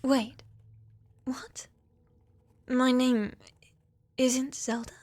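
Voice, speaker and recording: A young woman playing a shy, obedient witch's apprentice in a fantasy ASMR roleplay, meek, hesitant and soft-spoken. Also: sits high, flat delivery, extremely slow, full character voice. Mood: confused. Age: young adult. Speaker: voice actor in audio roleplay.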